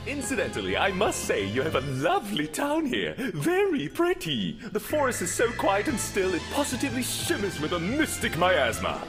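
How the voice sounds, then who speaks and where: A man playing a pompous gentleman detective in a fan-dubbed fantasy game, speaking in grand, florid, theatrical phrases. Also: sits high. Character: pompous, flamboyant gentleman detective, self-styled inspector extraordinaire. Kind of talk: fan-dub video game acting.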